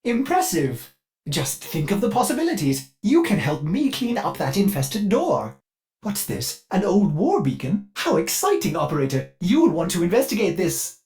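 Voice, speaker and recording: An anxious, devoted ship computer for a video game. The delivery is very animated, swinging up and down with theatrical formality. It sounds like a fussy, solicitous servant. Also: male voice, high-pitched, normal speed, faint music behind. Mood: excited. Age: older. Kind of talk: scripted video game voice acting.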